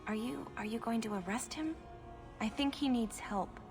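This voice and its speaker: An actress playing a timid, sad elven seamstress in a video game, speaking hesitantly and deferentially. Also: female voice, a little high, normal speed, darkish tone, soft background music, natural voice. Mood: anxious, pleading. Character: timid, sorrowful elven seamstress, polite abandoned wife.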